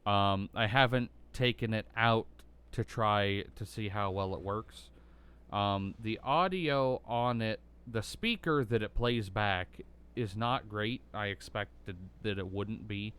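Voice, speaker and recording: Laid-back man with a smooth bassy voice. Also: slow, fairly bright tone. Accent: American. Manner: rambling, lots of ums and likes. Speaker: gaming YouTuber. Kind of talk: casual gameplay commentary.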